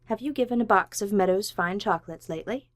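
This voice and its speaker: A woman's voice: medium pitch, fast talker, dry recording, faint music behind. A woman announcer reading a scripted sponsor advertisement in the style of vintage radio.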